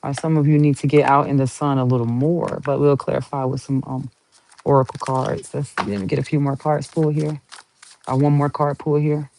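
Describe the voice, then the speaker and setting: A woman's voice: rumbling low, flat delivery, a little fast, creaky, mellow, a little hiss or hum. A woman with a Southern US accent giving a chatty, rambling tarot reading, playful and talkative as she pulls cards. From Southern United States. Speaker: tarot reader.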